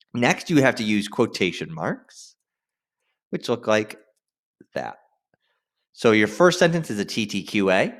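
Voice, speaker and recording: A male teacher giving an instructional screen-share lesson. His pitch moves in very lively swings as he stresses key phrases. He sounds informal yet directive, often checking in with his students.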